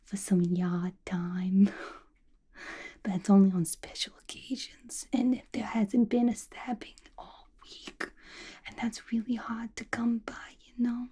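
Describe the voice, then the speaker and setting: A woman playing a young, playful, violent-minded female villain in an ASMR roleplay, gossiping to the listener in a chatty, conspiratorial breathy whisper. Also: mid-range voice, little pitch movement, takes it slowly. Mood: playful, reassuring. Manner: chatty, conspiratorial, giggly, colloquial. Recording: clear background noise, soft clicks.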